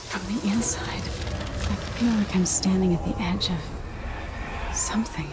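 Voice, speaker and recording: An actress playing a young deposed empress in a video game, speaking in a hushed, introspective way. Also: female voice, medium pitch, slow, close to an everyday voice. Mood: awed. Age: young adult. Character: young deposed empress, troubled, wondering, resolute. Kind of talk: video game cutscene acting. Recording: game music behind voice.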